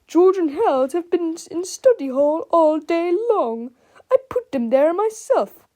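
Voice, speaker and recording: A woman voicing an angry, bullying middle-aged male school principal from a storybook, shouting and growling with menace. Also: very high-pitched, sing-song, extremely slow, pitched above the performer's own voice, full character voice. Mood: outraged.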